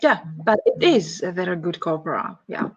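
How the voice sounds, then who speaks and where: A woman's voice: on the low side, very animated, moderate pace, background noise. Woman moderator, hesitant, muffled call audio. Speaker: moderator of an academic talk. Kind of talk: hosting a question and answer session. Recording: dull, band-limited online call audio.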